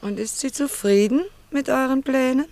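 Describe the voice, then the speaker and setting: A woman's voice: sits high, moderate pace, darkish tone. An actress playing a shrewd, direct woman trader in a German radio play, with a questioning, businesslike manner. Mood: probing.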